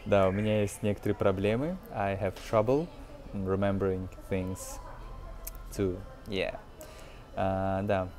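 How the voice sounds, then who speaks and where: Low-voiced young man teaching, hesitant. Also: fairly even intonation, unhurried, a little mellow. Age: 20s. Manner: hesitant, explanatory, switches into English examples. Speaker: English teacher, video host. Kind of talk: casual lesson commentary on a film.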